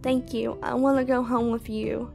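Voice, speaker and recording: A woman voicing a gentle, lonely young dragon who speaks in an earnest, trusting way. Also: on the high side, little pitch movement, moderate pace, creaky, faint music behind. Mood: hopeful.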